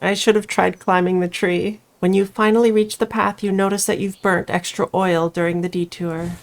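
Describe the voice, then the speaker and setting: A woman's voice: mid-range voice, level tone, moderate pace. A woman reading a horror visual novel aloud as she plays, mixing storybook-like narration with casual commentary. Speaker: player narrating a game playthrough.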